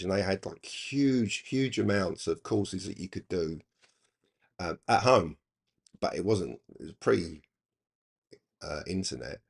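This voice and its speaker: An older man reminiscing casually in a halting, rambling, reflective way. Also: sits low, creaky, breathy edge. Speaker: hobby radio channel presenter.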